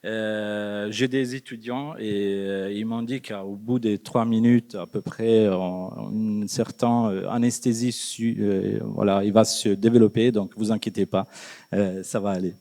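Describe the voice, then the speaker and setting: An Italian-accented man delivers a read-out lecture in a reverberant conference hall. His voice is dark and mellow, and he speaks carefully, pausing and correcting his own words. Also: lowish voice, fairly even intonation. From Italy. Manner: careful, with frequent hesitations and self-corrections. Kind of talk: reading a prepared academic talk.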